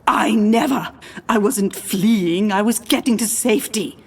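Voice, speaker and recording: Husky, dry, embittered scientist woman. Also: mid-range voice, measured pace. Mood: defensive. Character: dry, embittered female scientist, easily flustered and defensive. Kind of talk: audio drama acting.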